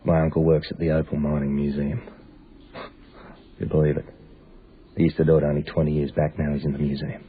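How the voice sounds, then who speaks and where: A wistful, easygoing young Australian traveller in a naturalistic radio drama. His voice is very deep with a gravelly fry, and he reminisces in plain colloquial speech. It sounds thin, as if down a phone line. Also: completely flat delivery, fairly quick, pauses often, slight background noise. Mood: reminiscing, fond. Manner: reflective, colloquial storytelling. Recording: dull, phone-like band, faint music.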